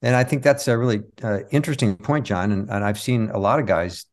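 A man speaking as an interview guest, reflective and halting as he tells stories of his work in conversation. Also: lowish voice. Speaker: interview guest, comic magician and stage show creator.

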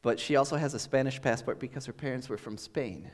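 A man with a mid-range voice preaches a relaxed, conversational sermon, thinking aloud as he explains. His words ring out with a long tail of reverb, as from a large church sanctuary. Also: medium pitch, fast talker. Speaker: pastor.

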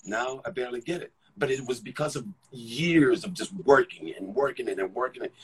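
A man from Ghana, an actor, talking about his career in a relaxed interview, often restarting and repeating words as he thinks. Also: mid-range voice, fairly quick, crowd noise, poor audio. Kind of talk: casual conversational storytelling.

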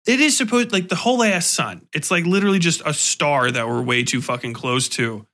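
A man hosting a casual interview, talking in an animated, freely swearing way and acting out little sound effects. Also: on the high side, fairly quick. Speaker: podcast host interviewing a guest.

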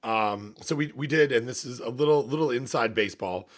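A man with a gravelly, slightly hoarse voice, rambling and cutting himself off in a casual podcast chat. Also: mid-range voice, brisk. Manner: rambling, self-interrupting. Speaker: podcast co-host, university academic.

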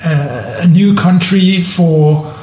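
A man from Denmark with a notably high voice, chatting casually and stumbling through frequent restarts and repeated words. His voice bounces around a roomy, echoing space. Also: slow pace, mellow. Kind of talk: casual podcast conversation. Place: room in southern Germany.

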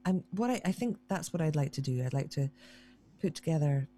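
A woman's voice: deep voice, expressive, brisk, mellow. A woman harpist, chatting casually and self-deprecatingly as a guest in an interview, often restarting her sentences.

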